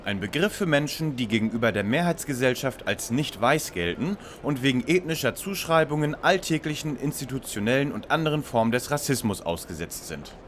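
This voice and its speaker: An actor playing a wordy, know-it-all young detective who lectures others in a parody radio play. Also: male voice, medium pitch, expressive, a little fast, hardly any pauses, a bit bright, slight background noise, close to an everyday voice. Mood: lecturing.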